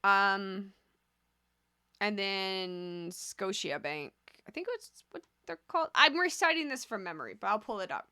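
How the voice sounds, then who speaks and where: Bright, clear-voiced woman commentator. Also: medium pitch, expressive, measured pace, no echo, studio quality. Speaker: host of a stock commentary channel. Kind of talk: casual video commentary on oil stocks.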